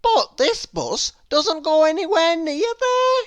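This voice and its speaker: A woman's voice: extremely high pitch, very animated, slow pace, pitched above the performer's own voice, full character voice. A woman voicing a nosy male storybook busybody with an inquisitive, exaggerated way of talking. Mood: prying.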